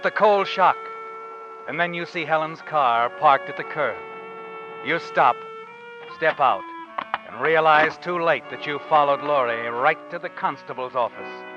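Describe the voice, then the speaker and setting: An actor playing an ominous, taunting unseen narrator in 1940s suspense radio style. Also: male voice, a little high, close to an everyday voice. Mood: urgent, ominous. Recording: muffled old radio with music underneath.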